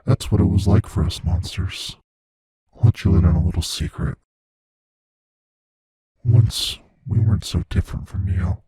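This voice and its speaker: A man voicing an ancient immortal monster in a horror ASMR roleplay, hushed and breathy, slow and menacing, world-weary yet savoring fear. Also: no echo. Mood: confiding, brooding. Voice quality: hushed, husky, breathy low voice. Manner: slow, menacing, philosophical, intimately taunting. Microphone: close studio mic, dry.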